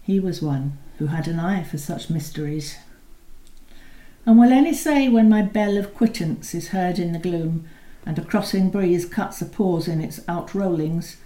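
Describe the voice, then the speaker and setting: Woman, poetry recital, mellow lowish voice, measured expressive delivery, roomy echo. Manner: measured, expressive reading, slowing on key lines. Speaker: poetry reader. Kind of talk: poetry recital with spoken introductions.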